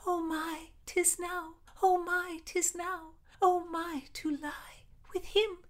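A woman's voice: very high-pitched, fairly even intonation, slow, a bit bright, pitched above the performer's own voice, exaggerated voice. A woman voicing a lovestruck, giddy young Tudor woman in a storybook reading. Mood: excited anticipation.

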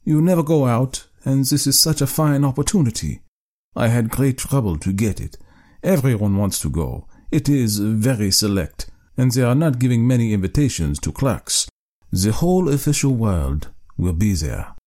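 A man's voice: mid-range voice, lively intonation, moderate pace, dark tone, pitched above the performer's own voice, lightly acted. A man voicing a meek, eager-to-please clerk husband who coaxes and placates his wife. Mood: coaxing. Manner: coaxing, placating.

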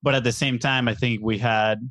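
A man's voice: medium pitch, steady tone, brisk, fairly bright tone, no echo. Guy from venezuela, 30s, earnest podcast guest explaining stuff, mid voice, dry mic. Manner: earnest, explaining, often ends points with "right?". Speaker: guest, business co-founder. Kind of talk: casual interview conversation.

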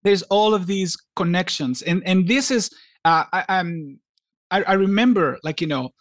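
A man from Greece co-hosting a podcast in casual chat, talking haltingly with many restarts and fillers. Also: high-pitched, no room sound, clean studio sound. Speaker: podcast co-host.

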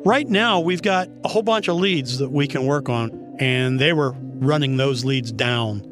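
A man's voice: medium pitch, normal speed, music in the background. A man speaking as an investigator, recalling a case in an interview. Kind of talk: interview recollection.

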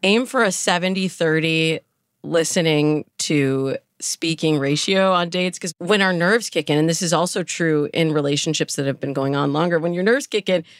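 A woman with a low voice gives advice as an interview guest. She explains things conversationally and illustrates them with little examples. The sound is dry and close. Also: moderate pace, dry recording. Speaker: dating coach, interview guest.

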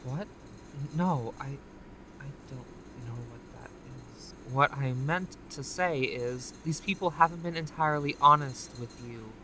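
An actress playing a smug, taunting corporate executive villain from a time-travel audio drama, theatrical and condescending. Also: female voice, rumbling low, measured pace, noisy background. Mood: sly, persuasive.

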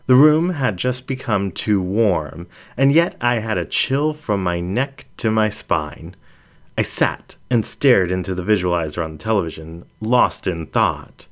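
A man reading first-person fiction aloud as a storyteller, in an expressive and deliberate manner. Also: fairly low pitch. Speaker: audiobook reader.

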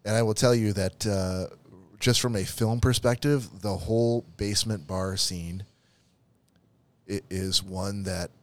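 A man's voice: on the low side, steady tone, measured pace. A man in casual conversation about a movie, often restarting and repeating his words. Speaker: podcast co-host.

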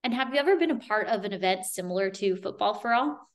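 Casting: woman podcast host, medium pitch, posing interview questions to a guest. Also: lively intonation, fast. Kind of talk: interview questions, reading listener questions.

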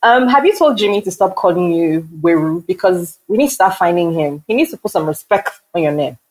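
Low, husky woman hosting. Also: expressive, a little fast, darkish tone, rough recording. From Nigeria. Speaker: podcast host. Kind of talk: casual interview chat.

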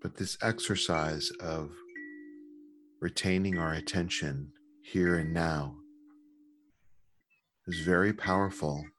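A man leading a guided meditation in a calm, gentle, measured manner with long pauses. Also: low voice, faint music behind.

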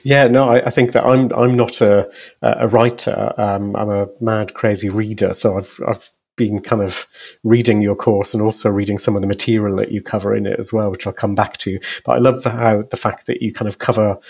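A man's voice: on the low side, steady tone, moderate pace, gravelly, darkish tone, slightly rough. A man interviewing a guest conversationally, with wordy, meandering questions as the host.

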